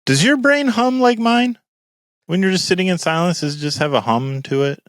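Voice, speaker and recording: A man co-hosting a podcast with a deep voice, talking in a deadpan, mock-serious way. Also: normal speed, no echo, clean studio sound. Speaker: podcast co-host. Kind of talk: casual chat.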